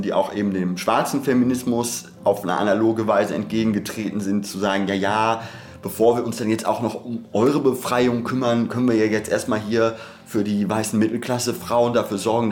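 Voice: medium pitch, a little mellow. A podcast co-host in a reflective, conversational discussion, speaking in a discursive academic way and often breaking off to rephrase. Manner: discursive, hesitant, self-interrupting academic talk.

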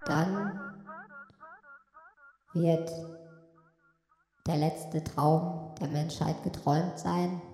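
A woman's voice: sits low, fairly even intonation, drawn-out words, pauses often, warm tone, exaggerated voice. A woman playing a magic mirror from another dimension in an amateur audio drama, rambling and hesitant, with heavy reverb on the voice. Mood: solemn, ominous. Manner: hesitant, rambling delivery between solemn pronouncements. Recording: echoey long reverb, music behind.

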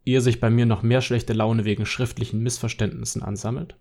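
Man playing frustrated online poster, middle pitch, conversational, argumentative, defiant, radio drama collage. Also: level tone, fairly quick, gravelly, a little mellow. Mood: frustrated, reflective. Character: worried, defiant social media user criticising lockdown rules. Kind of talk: scripted radio collage reading of online posts.

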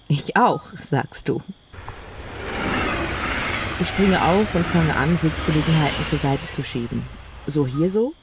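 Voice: medium pitch, darkish tone, slightly rough. A narrator telling a first-person audio story in the present tense, weaving quoted dialogue into the narration.

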